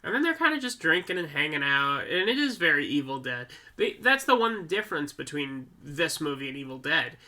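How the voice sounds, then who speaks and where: Male podcast co-host, upbeat and joking, bright edge to the voice. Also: a little high, big pitch swings, fairly quick, few pauses. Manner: playful, laughs often, likes doing impressions. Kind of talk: casual chat.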